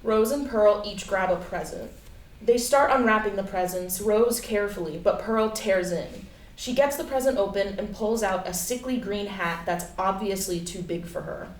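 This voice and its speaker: A woman's voice: medium pitch, moderate pace. A woman reading stage directions as the narrator of a staged play reading. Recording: echoey room, distant mic, faint noise.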